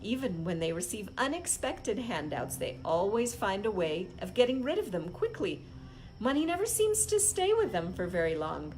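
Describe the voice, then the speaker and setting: Female read-along host, mid-pitched, unrehearsed book reading in deliberate short phrases, slightly noisy recording. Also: very animated, darkish tone. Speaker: host reading a book aloud on a live stream. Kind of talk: impromptu read-aloud of a self-help book.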